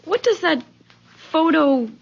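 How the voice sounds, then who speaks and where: A rattled, insistent young woman in an old radio play. Her high voice jumps about emotionally, on a dulled vintage recording. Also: expressive, slow, gravelly, lo-fi sound, exaggerated voice. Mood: bewildered, insistent. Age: young adult. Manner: urgent, emotional. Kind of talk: old radio drama acting.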